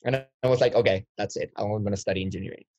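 A man's voice: on the low side, fairly even intonation, fast talker, a little mellow, no room sound. Young man from Chile, dull dry recording. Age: 20s. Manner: rambling, many restarts and fillers. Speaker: guest. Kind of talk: casual interview storytelling.

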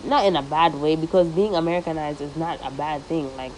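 Young woman podcast host, fairly low voice, loose and lively, sprinkles in Swahili slang. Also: brisk, slight background noise, low-quality recording. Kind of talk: casual solo podcast monologue. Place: living in the United States.

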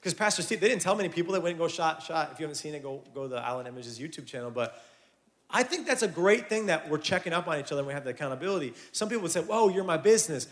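Casting: man in his 30s, youth preacher, talks a bit higher than most men, animated and chatty delivery. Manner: animated, conversational preaching with asides. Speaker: youth pastor. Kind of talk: sermon to young people.

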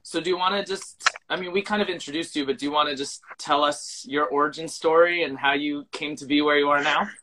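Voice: mid-range voice, fairly even intonation, a little fast, hardly any pauses, sharp tone, low-quality recording. A podcast co-host interviewing a guest in a chatty, easygoing manner. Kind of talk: casual interview.

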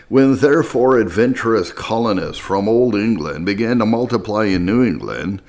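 A man narrating a historical text in a measured, formal audiobook style. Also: lowish voice. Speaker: narrator reading history aloud.

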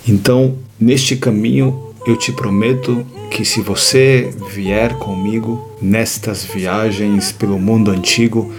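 A man hosting an educational series, explaining ideas in a measured lecture with deliberate, emphatic repetitions. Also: on the low side. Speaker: host of an educational series on strategy.

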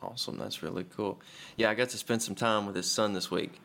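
A man's voice: on the low side, brisk, vocal fry, sharp tone. A young adult man from Memphis, hosting a podcast in a chatty, joking way. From Memphis, USA. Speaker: podcast host. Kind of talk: casual podcast chat.

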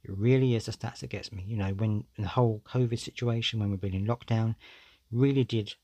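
A man's voice: on the low side, steady tone, brisk, mellow, dry recording. A man, hosting a solo podcast in a candid, conversational way, often saying "you know". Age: 40s. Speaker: podcast host.

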